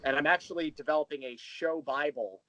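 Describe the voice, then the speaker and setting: A man's voice: fairly high pitch, brisk, bright, forceful tone, dry recording, lo-fi sound. A man talking casually and animatedly as a podcast guest and creator, telling about his work with enthusiasm. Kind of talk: casual interview chat.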